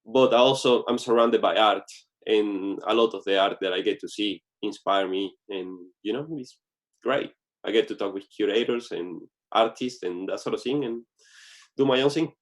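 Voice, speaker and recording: A man's voice: fairly low pitch, normal speed. Man with a Venezuelan Spanish accent. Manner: earnest, with frequent "you know" fillers. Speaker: guest. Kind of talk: casual interview answers.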